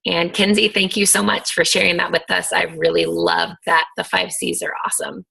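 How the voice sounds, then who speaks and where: A woman's voice: fairly low pitch, sing-song, normal speed, vocal fry, sharp tone, husky. A woman coach hosting a video team call, talking in a chatty, encouraging way with lots of ums and likes. Her voice is scratchy. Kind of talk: casual motivational team call.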